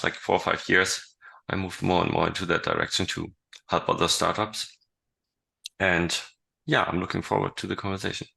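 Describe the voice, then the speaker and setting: A man's voice: low-pitched, gravelly, bright, forceful tone, low-quality recording. A man who advises startups, speaking hesitantly with fillers on an online panel over a video call. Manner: hesitant, many restarts and fillers. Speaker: startup advisor, fractional CTO. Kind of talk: panel discussion.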